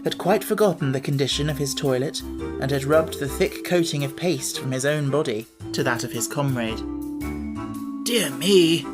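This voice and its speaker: A man narrating a classic children's audiobook in a measured, even storytelling manner. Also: mid-range voice, music in the background.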